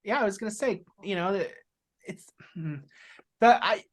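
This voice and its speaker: A woman podcast co-host who asks questions in a halting, rambling, casual way and often laughs. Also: medium pitch.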